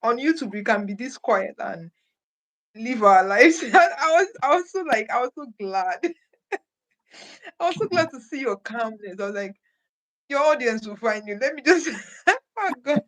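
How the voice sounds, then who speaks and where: A woman hosting a casual conversation, excitable and quick to laugh. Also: fairly high pitch, poor audio. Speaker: host.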